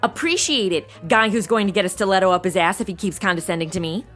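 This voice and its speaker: A woman's voice: medium pitch, fast, fairly bright tone, soft background music, lightly acted. A woman playing a brash, sarcastic young temp posing as a showgirl, talking in blunt modern slang in a noir comedy audio drama. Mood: sassy.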